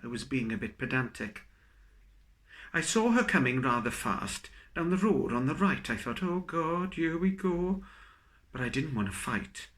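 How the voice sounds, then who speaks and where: Man performing comic verse, very animated pitch. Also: unhurried. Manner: theatrical, expressive storytelling. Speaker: poet reading own work. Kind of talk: dramatic comic poetry recital.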